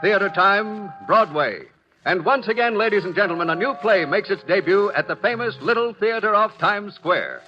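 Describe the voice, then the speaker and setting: Casting: male vintage radio announcer, grand delivery, forceful high voice, faint music. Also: expressive, moderate pace. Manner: grand, declaiming. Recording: muffled vintage radio broadcast.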